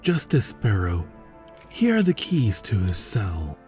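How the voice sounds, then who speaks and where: A man's voice: lowish voice, wildly swooping pitch, takes it slowly, faint music behind, exaggerated voice. A man playing a valiant, earnest superhero captain in an audio roleplay, speaking in formal, grandiose heroic declarations. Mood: triumphant, noble.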